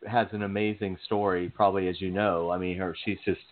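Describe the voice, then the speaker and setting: A chatty man co-hosts a casual talk show, asking long rambling questions. His voice sits a little low. The sound is thin and phone-like. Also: level tone, fairly quick. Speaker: co-host. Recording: tinny phone line.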